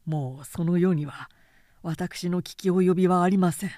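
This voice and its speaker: A woman's voice: lowish voice, normal speed, dark tone, no echo, clean studio sound, lightly acted. A woman voicing a humble, cautious man in an audiobook, speaking politely in a subdued, deferential way. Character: humble, cautious man feigning timidity before a warlord.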